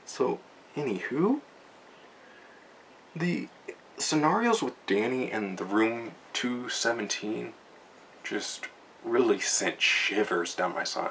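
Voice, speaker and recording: A man's voice: medium pitch, animated, takes it slowly, gravelly, long pauses, a bit bright. A young man giving a casual, rambling book review with many fillers and restarts, chuckling often as he talks. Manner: chuckles often while talking. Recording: distant mic, faint background noise.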